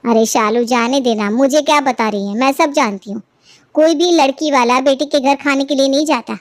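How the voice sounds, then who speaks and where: Casting: woman playing cartoon elder sister-in-law, sensible, resigned, placating, fairly high pitch, dry recording. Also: moderate pace, dry recording, natural voice. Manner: placating, matter-of-fact. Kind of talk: cartoon family moral story dialogue.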